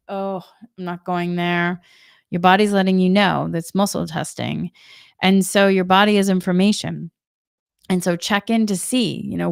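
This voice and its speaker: Smooth low-voiced woman with a Boston accent. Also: dry recording, studio quality. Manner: gentle, repetitive, reflective. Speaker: spiritual energy guide, Reiki practitioner. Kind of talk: spiritual guidance talk.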